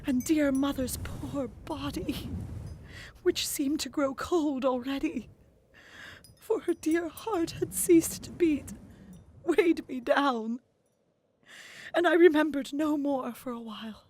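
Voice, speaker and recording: Expressive woman reading a gothic tale. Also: sits high, slow, a little hiss or hum. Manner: expressive, dropping to whispers in hushed passages. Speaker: narrator. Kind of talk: dramatic first-person reading of a gothic novel.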